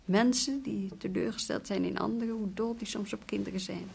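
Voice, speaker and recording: A woman's voice: mid-range voice, brisk, gravelly, a little mellow, breathy edge, heavily acted. Proud, restless lady in radio drama. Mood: wistful, troubled. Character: proud, unhappy Dutch colonial lady, restless and commanding.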